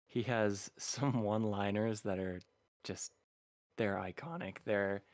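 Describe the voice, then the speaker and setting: A man's voice: fairly low pitch, slow, dry recording. A man answering fan questions in a casual live-stream chat, easygoing and enthusiastic. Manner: easygoing, enthusiastic, laughs while talking. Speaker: voice actor guest.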